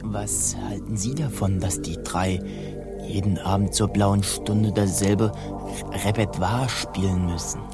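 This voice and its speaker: A man's voice: lowish voice, steady tone, unhurried, loud music behind the voice. An actor playing a restless, questioning pirate crewman in a radio drama, probing as he speaks. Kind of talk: German radio drama acting.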